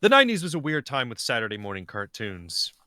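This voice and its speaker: A man's voice: mid-range voice, huge pitch swings, quick pace, bright, forceful tone, no room sound, studio quality. A man, a podcast guest talking in a hesitant, rambling way. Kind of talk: casual podcast chat.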